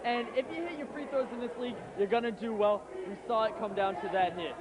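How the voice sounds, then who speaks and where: A man offering steady, agreeable color commentary after an amateur basketball championship. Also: high-pitched, quick pace, a crowd in the background. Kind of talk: amateur league courtside commentary. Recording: noisy outdoor recording.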